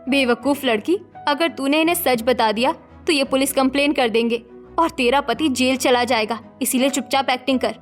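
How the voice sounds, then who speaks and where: A woman's voice: sits high, normal speed, faint music behind, natural voice. A woman voicing a sensible, protective young married elder sister in a cartoon story, firm and admonishing. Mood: sharp, scheming. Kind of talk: Hindi cartoon story dialogue acting.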